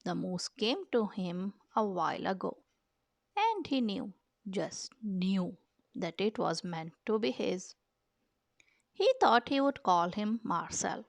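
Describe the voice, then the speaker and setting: A woman reading a children's picture book aloud with measured, expressive storytelling delivery. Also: medium pitch, creaky, no echo. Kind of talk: storybook read-aloud narration.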